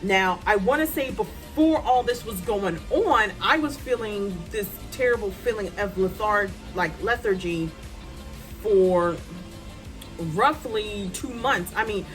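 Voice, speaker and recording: A woman in her thirties, a writer, chatting casually to her phone's front camera at home, loud-voiced and rambling with many ums and asides. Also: medium pitch, takes it slowly, soft background music. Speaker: writer vlogger. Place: hot room at home with a fan.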